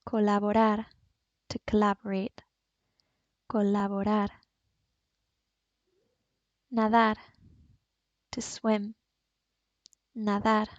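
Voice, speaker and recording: A woman with a Spanish accent, a native Spanish speaker, teaching Spanish as a language teacher and pronouncing each word slowly and clearly. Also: medium pitch, completely flat delivery, dry recording. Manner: slow, clearly enunciated word drills. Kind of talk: language lesson. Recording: dull, dry voice-over.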